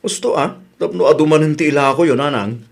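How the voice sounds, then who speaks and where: A man's voice: on the high side, fairly quick, creaky. Man acting a dutiful young Ilocano son. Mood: concerned, helpful. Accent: Ilocano Filipino. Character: helpful, dutiful grown son. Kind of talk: radio drama acting in Ilocano.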